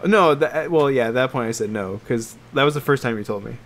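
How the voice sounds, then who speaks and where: A man co-hosting a casual podcast chat about games and films, talking in a halting, meandering way that trails off. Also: mid-range voice, a bit bright. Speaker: podcast co-host.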